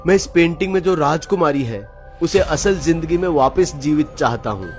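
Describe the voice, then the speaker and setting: A smooth-talking, sinister young boyfriend as a male narrator acts him out, pitched up and theatrical. He coaxes and schemes in a horror cartoon story, with loud music underneath. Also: lively intonation, normal speed, natural voice. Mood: eager, pleading. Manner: coaxing, theatrical.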